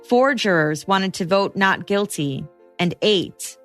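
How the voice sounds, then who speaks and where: A woman hosting a podcast, reading scripted true crime narration in a measured, matter-of-fact way. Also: medium pitch, animated, faint music behind.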